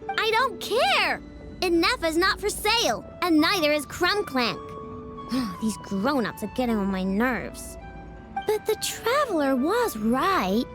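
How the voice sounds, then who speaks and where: A brash little girl inventor from a video game, with a very high childlike voice that swings widely in pitch. She speaks her mind with a short temper, over clear background music. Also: big pitch swings, takes it slowly, heavily acted. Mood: grumbling. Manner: brash, outspoken. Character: hot-tempered young girl inventor who runs a robot shop. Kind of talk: video game cutscene acting. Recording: music behind the voice.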